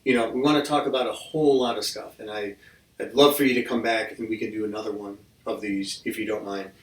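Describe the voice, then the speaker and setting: A man's voice: on the low side, fairly even intonation, quick pace. A man hosting a casual podcast, rambling along and restarting his sentences as he talks. He sits a little back from the mic, and the room around him is echoey. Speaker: podcast host. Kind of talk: casual interview chat. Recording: roomy mic from a distance.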